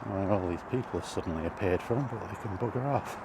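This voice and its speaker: A British man giving rambling, casual commentary while walking outdoors, halting and thinking aloud as he goes. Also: deep voice, gravelly. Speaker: video host. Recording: outdoor ambient noise throughout.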